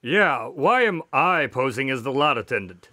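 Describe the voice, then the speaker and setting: Earnest, animated doctor sidekick, acted by a man. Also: mid-range voice, moderate pace, dry recording, studio quality. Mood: puzzled. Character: earnest, uneasy male doctor, detective's questioning sidekick. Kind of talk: radio drama acting.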